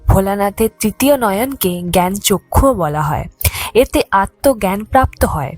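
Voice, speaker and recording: A woman's voice: medium pitch, lively intonation, moderate pace, dry recording. A woman reading a scripted mythological tale in a formal, literary storytelling manner. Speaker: storyteller narrator. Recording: background music under the voice. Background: music.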